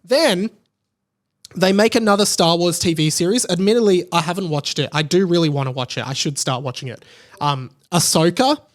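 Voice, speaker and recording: A man's voice: high voice, moderate pace. A man hosting a podcast, talking casually and emphatically in an informal, gushing fan style. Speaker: podcast host. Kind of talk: casual opinionated podcast monologue about pop culture.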